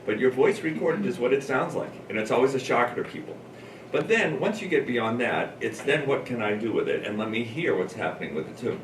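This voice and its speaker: A man's voice: medium pitch, lively intonation, brisk. A man who teaches drama, talking informally and explaining his approach as he thinks aloud. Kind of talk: informal talk to an audience about teaching. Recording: roomy, noisy, distant microphone.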